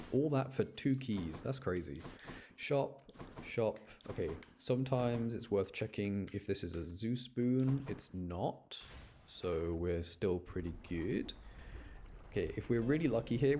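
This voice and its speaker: A man's voice: on the low side, expressive, a little slow, mellow, slight background noise. A man giving casual gameplay commentary, talking to himself and explaining his choices as he rambles along. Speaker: gaming content creator.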